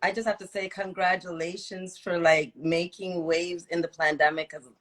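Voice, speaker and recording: A woman with a slightly low voice hosts a casual live interview. She is affirming and encouraging toward her guest and tends to say a phrase twice as she builds a question. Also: level tone, normal speed. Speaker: host, interviewer.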